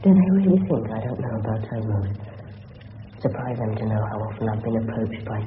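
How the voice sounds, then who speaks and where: A male actor plays a teenage English schoolboy, well-mannered yet privately knowing and anxious. His voice is very animated, in an echoey, noisy old radio drama recording. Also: fairly low pitch, a little fast, phone-quality sound, lightly acted. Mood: musing, confiding. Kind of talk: 1970s British radio drama acting.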